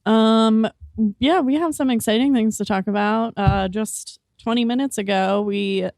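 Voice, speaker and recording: A woman co-hosting a casual podcast, chatty, laughing often and filling pauses with "um". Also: fairly high pitch, vocal fry, darkish tone, dry recording, studio quality. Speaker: podcast co-host.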